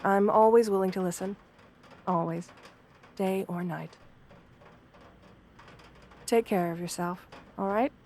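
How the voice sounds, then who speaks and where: A kind wolf girl therapist, acted by a woman for an ASMR roleplay. She speaks softly at a medium pitch. Her manner is gentle and professional, measured and reassuring, like a patient counsellor. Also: a little hiss or hum. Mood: caring, reassuring. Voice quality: soft-spoken. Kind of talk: soft-spoken ASMR roleplay.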